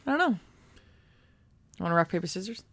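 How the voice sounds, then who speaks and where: A woman's voice: on the low side, very animated, brisk, a bit bright, no echo. Chatty woman co-hosting, dry sound. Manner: chatty, with frequent short agreeing interjections. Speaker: podcast co-host. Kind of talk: casual board game podcast chat.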